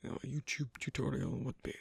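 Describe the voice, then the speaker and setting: A performer playing a shy, socially awkward werewolf on a first date in a soft ASMR roleplay, with a breathy, husky voice and halting, hesitant, terse delivery. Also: medium pitch, animated, no room sound, clean studio sound, full character voice. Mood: apologetic, flustered.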